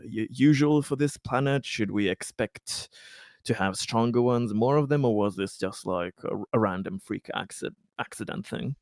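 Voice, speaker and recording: A man playing a tabletop roleplaying game, casually and haltingly describing what his character does and asks. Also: on the low side, no echo, clean studio sound.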